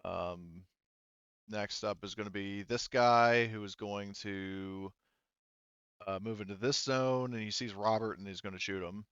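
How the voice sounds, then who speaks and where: A man acting as game master in a tabletop roleplaying game, improvising narration, thinking aloud haltingly and swearing casually. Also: lowish voice, fairly even intonation, fairly bright tone, smooth, dry recording, muffled recording.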